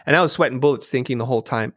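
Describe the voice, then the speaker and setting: A man's voice: mid-range voice, rapid-fire, a bit bright, no room sound, clean studio sound. A middle-aged man whose first language is Vietnamese, telling stories earnestly and thoughtfully as an interview guest, often restarting his sentences. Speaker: interview guest, designer and teacher. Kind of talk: reflective storytelling in conversation.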